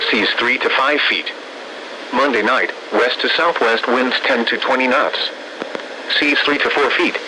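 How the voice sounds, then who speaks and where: Bright synthetic male weather radio announcer. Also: medium pitch. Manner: measured, impersonal, formulaic synthetic delivery. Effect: synthesized voice over weather radio. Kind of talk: scripted marine forecast and weather bulletin reading. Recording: noisy weather radio broadcast.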